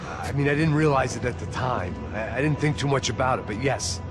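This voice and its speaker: A man's voice: mid-range voice, a little fast, lightly acted. A man playing a weary, cynical middle-aged American ex-cop in a video game cutscene, speaking tersely. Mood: weary, evasive. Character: jaded, hard-drinking middle-aged American ex-cop action antihero. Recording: music behind the voice.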